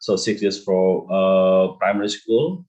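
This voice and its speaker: A middle-aged man with an Indonesian accent giving polite, conversational interview answers as an educator, often pausing mid-sentence. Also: low voice, a little mellow, muffled recording. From East Java, Indonesia. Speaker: interview guest, school deputy principal and English teacher.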